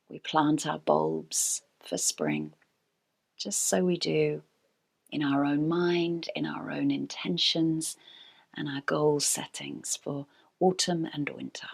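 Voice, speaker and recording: A woman teaching restorative yoga, giving calm, unhurried guided relaxation in a soft, sometimes breathy voice. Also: low-pitched. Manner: calm, unhurried, gentle pauses. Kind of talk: slow guided relaxation instruction.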